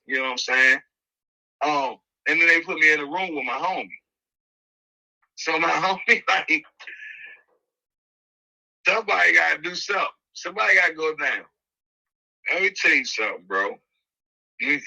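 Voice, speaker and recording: A man's voice: on the high side, sharp tone, poor audio. A middle-aged American man telling a personal story on a podcast in casual, slangy street talk, emphatic and acting out the dialogue as he goes.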